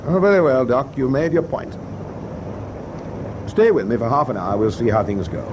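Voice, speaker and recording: A weary, wry British warship captain, played by a male actor. His lively voice is a touch high for a man, over clear ship ambience in a wartime radio play. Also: moderate pace, noisy background, natural voice. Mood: wry, relenting. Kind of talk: wartime radio drama acting.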